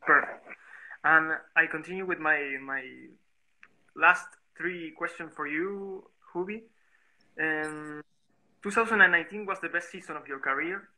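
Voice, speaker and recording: A man who is a native Spanish speaker, interviewing informally over a video call and searching haltingly for his English words. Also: a little high, pushed and bright, poor audio. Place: video call on social media live stream.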